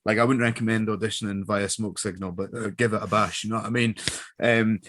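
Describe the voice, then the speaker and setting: A man's voice: lowish voice, steady tone, a little fast, fairly bright tone. A man chatting casually and giving advice as a guest voice actor, informal and emphatic, often repeating himself.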